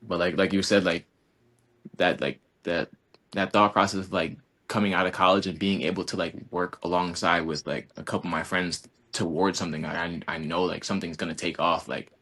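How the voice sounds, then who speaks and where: A young man in his twenties with a deep, low-set voice. He chats casually and earnestly, stringing thoughts together with endless "like"s and restarts. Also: steady tone, a little fast, fairly bright tone. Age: 20s. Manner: rambling, heavy use of "like", earnest. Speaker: college basketball player, guest. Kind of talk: casual podcast conversation.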